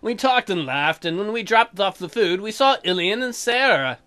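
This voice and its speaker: A woman let's play host with a lively, varied delivery. She reads visual novel text aloud and breaks off into joking asides over music. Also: medium pitch, moderate pace.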